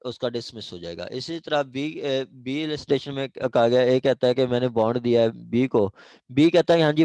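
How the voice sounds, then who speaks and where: A man's voice: mid-range voice, darkish tone, no echo, poor audio. A man with a Pakistani accent giving an informal law lecture, explaining with examples, often hesitating and checking that listeners follow. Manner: repeats check phrases, hesitant, reads legal text slowly in English.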